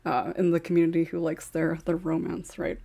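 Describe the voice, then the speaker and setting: Low-voiced giggly woman hosting. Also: little pitch movement, a little fast, gravelly, dark tone. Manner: giggly, often laughs while speaking. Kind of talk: casual podcast chat.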